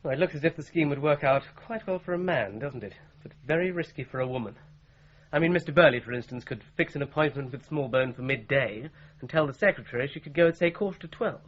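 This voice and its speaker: Actor as a keen, quick-talking British amateur sleuth. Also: male voice, medium pitch, lively intonation, close to an everyday voice. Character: keen, helpful male amateur sleuth in a law office. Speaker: actor in a radio crime drama. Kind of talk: 1980s British radio drama acting. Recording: muffled radio drama recording with hiss.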